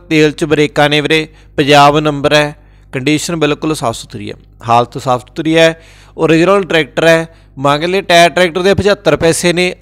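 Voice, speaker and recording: A man with a Punjabi accent hosting a farm-machinery sales channel, briskly reading listings and repeating prices and phone numbers. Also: fairly high pitch, clean tone. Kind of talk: classified sales rundown voice-over. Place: voice-over, not recorded at the pictured sites.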